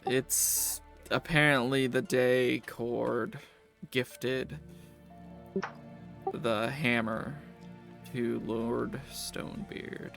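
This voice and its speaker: A man's voice: mid-range voice, steady tone, extremely slow, gravelly, pauses often. A man acting as game master, casually narrating a tabletop game and answering players' questions. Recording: music behind the voice. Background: background music.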